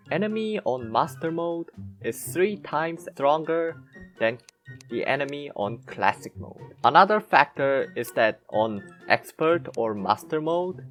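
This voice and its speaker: A gaming video narrator of mid pitch, speaking slowly with wide swings in intonation over music. The delivery is scripted but halting, with key words leaned on. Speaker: video game channel host. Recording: background music under voice-over.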